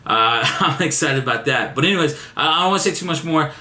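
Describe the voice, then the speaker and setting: Young male vlogger, energetic and casual, a little raspy, echoey room sound. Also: a little high, quick pace. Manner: excited, repeats words, says man a lot. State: still a bit raspy after laryngitis. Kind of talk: casual vlog chat to camera.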